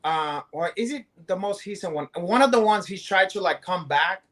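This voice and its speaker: A man's voice: high-pitched, animated, moderate pace, fairly bright tone. Brazilian-accented male co-host, brash and profane, comic bravado. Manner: boastful, swearing, joking.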